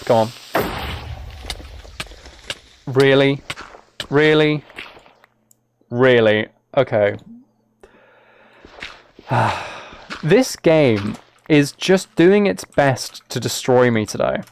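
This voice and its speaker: A man's voice: medium pitch, expressive, very slow, pauses often, smooth. A British man chatting casually on a game livestream, talking to viewers in a mock-exasperated, self-deprecating way. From United Kingdom. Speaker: live streamer.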